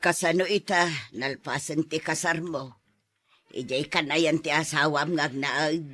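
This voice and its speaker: A woman's voice: low-pitched, steady tone, measured pace, fairly bright tone. A woman playing an elderly, grumbling grandmother in an Ilocano radio drama, plain-spoken and scolding, with an Ilocano accent. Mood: resentful. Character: elderly grandmother and mother, grumbling, partial to favorites.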